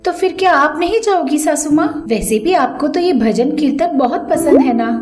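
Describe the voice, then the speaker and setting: Woman voicing a high-pitched, earnest young daughter-in-law. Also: expressive, normal speed. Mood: polite, eager. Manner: earnest, polite, deferential. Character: young foreign-returned daughter-in-law, remorseful, respectful, eager to please. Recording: echoey voice over background music.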